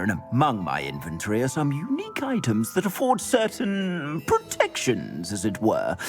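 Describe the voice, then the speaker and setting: An actor playing a theatrical, grateful shopkeeper in a video game, stretching out his words with flourishes and little hesitations. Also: medium pitch. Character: flamboyant shopkeeper clothier, middle-aged man, grateful. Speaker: shop owner. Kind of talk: video game cutscene acting.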